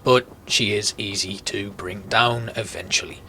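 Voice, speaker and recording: A man's voice: fairly low pitch, steady tone, unhurried, bright, forceful tone, poor audio. A man giving conversational, explanatory commentary as a guide through a video game. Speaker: gaming video host, guide. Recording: clear background game noise. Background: game ambience.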